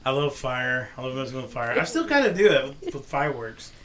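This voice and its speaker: A man in his thirties hosting a casual family chat, easygoing and joking as he asks quick questions. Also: medium pitch, big pitch swings, fairly bright tone, a little hiss or hum. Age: 30s. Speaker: vlog host.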